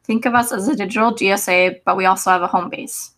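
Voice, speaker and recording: A woman's voice: mid-range voice, steady tone, fast, a bit bright. Woman in her twenties co-hosting a chat. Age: 20s. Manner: easygoing, hesitant with ums. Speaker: co-host and adult advisor. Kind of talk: casual live-stream chat.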